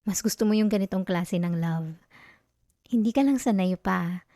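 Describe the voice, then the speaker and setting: A woman's voice: medium pitch, moderate pace, dark tone, no room sound, clean studio sound, close to an everyday voice. A Filipino woman playing a possessive, teasing, obsessive girlfriend in an intimate ASMR roleplay, speaking straight to the listener. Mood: sweet, possessive. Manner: intimate, teasing, code-switching into English words.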